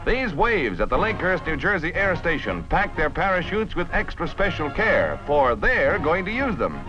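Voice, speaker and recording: A man's voice: on the high side, big pitch swings, normal speed, dull audio, music in the background. An American man narrating a 1950s newsreel in a polished, dramatic, declamatory style on a vintage soundtrack. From United States.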